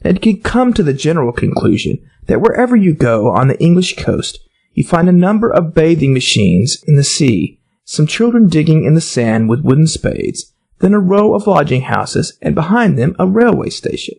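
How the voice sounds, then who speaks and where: American guy reading a kids audiobook, really animated, bit of creak in his voice. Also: a little high, normal speed. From United States. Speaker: audiobook narrator. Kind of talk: children's storybook narration.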